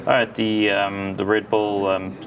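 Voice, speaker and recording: A man with a South African accent interviewing a guest, enthusiastic and quick to laugh in a casual chat. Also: on the low side, flat delivery, crowd noise. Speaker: interviewer for a music store.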